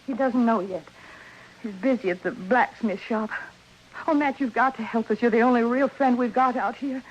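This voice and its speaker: An actress with an American accent playing an anxious, pleading frontier wife in an old-time radio drama, earnest and halting. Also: female voice, on the high side, lightly acted. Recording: muffled old radio, faint hiss.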